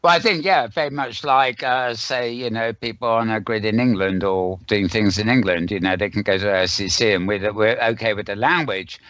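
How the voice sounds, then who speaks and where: A man hosting a casual online panel discussion, rambling and halting as he thinks aloud. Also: lowish voice, fairly bright tone. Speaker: host. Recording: dull, lo-fi online voice call.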